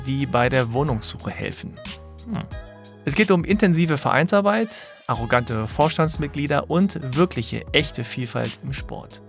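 A man hosting a podcast group chat in a playful, lively, joking way. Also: mid-range voice. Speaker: podcast host.

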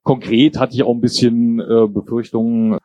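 A man's voice: mid-range voice, level tone, moderate pace, mellow, dry recording, muffled recording. A man in his fifties, raised bilingual in German and English, talking reflectively and hesitantly as an interview guest. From born in Jordan, grew up in England and Germany. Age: 50s. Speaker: interview guest, writer and political columnist. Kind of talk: casual, reflective interview conversation.

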